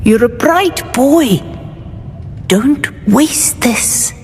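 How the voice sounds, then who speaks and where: Echoing hall, music, stern schoolmistress, high voice, slow, prim, disappointed, fantasy game. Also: female voice, expressive, darkish tone, exaggerated voice. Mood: disappointed, coaxing. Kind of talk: scripted game dialogue acting.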